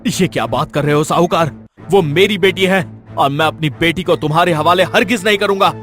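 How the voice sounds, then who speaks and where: A man's voice: on the high side, a little fast, a bit bright, lightly acted. A man playing a poor, devoted village husband and father in a cartoon, earnest and protective. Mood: pleading, protesting. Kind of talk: Hindi cartoon voice acting. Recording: light music bed behind.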